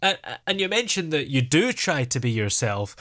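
A man's voice: a little high, very animated, normal speed, fairly bright tone. A man hosting an interview show, asking questions in a conversational way.

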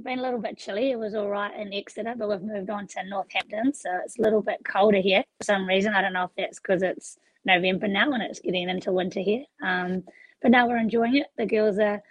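A hoarse woman answering interview questions in a relaxed, easygoing way. Her voice is gravelly and fairly flat in pitch, and the sound is dry and close. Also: no room sound, rough recording. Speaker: rugby player guest. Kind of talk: casual interview.

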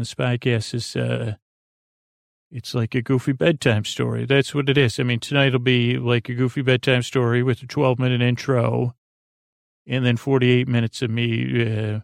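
A man hosting a bedtime podcast in a gentle, reassuring, informal way, meandering and halting with many false starts, in a creaky, gravelly voice. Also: medium pitch, dry recording, clean studio sound. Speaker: podcast host. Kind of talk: rambling, soothing bedtime podcast monologue.

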